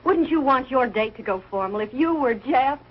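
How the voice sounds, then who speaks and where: A high-voiced, practical sitcom housewife. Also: female voice, lively intonation, normal speed. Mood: firm. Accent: American. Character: practical, motherly housewife, fond but exasperated. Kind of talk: 1940s TV sitcom acting. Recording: muffled, noisy 1940s television soundtrack.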